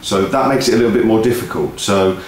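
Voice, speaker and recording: A man's voice: lowish voice, quick pace. A man giving a casual announcement update as co-host of a video, recorded in an office. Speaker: host of a music event promoters' video update. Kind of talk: casual two-person announcement chat. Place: indoor office room. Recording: roomy echo, noticeable background noise.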